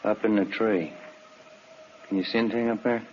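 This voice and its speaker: An actor playing an alert, businesslike police detective in a 1950s radio drama, talking in brief, plain questions and replies. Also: male voice, lowish voice, fairly even intonation, moderate pace, lightly acted. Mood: alert, questioning. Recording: muffled, faintly noisy old radio recording.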